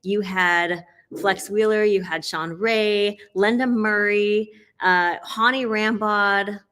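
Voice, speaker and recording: Woman host, bright forceful tone, upbeat and laughing, casual interview chat. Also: mid-range voice, steady tone, slow pace, clear, resonant tone. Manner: upbeat, laughs often, fillers.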